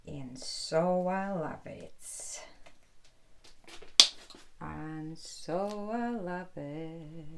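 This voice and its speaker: A woman doing soft ASMR commentary while painting, unhurried and repeating her phrases soothingly. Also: low voice, vocal fry, very noisy. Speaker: ASMR host painting on camera.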